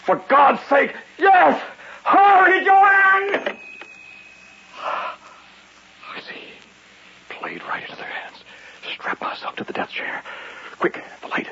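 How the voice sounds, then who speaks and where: A man's voice: extremely high pitch, sing-song, slow, vocal fry, long pauses, pushed and bright, exaggerated voice. An actor playing a paranoid, desperate man trapped alone in an old radio horror drama, going between muttering and panicked cries. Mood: desperate defiance. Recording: muffled, hissy vintage radio recording.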